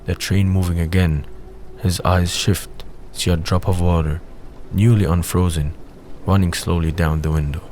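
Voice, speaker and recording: A man's voice: low-pitched, fairly even intonation, moderate pace, darkish tone. A man reading scripted scene narration for an audio drama. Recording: faint background noise.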